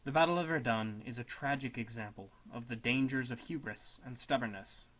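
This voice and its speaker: A man's voice: mid-range voice, fairly quick, fairly bright tone. Guy reading a serious scripted history essay, dramatic and solemn, normal mid voice. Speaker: student narrator.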